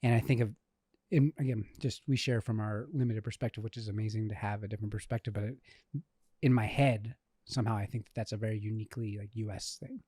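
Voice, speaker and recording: A man's voice: lowish voice, fairly even intonation, quick pace, mellow, slightly rough, dry recording. A man co-hosting a faith podcast, talking earnestly and hesitantly, often restarting his sentences. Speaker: podcast co-host. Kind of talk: casual podcast conversation.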